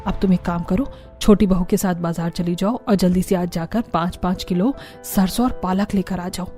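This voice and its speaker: Mellow female voice, acted by a woman narrator, bossy older mother-in-law, scolding, stern, cartoon story. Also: lowish voice, fairly even intonation, moderate pace, soft background music, pitched below the performer's own voice, close to an everyday voice. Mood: insistent. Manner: scolding, commanding.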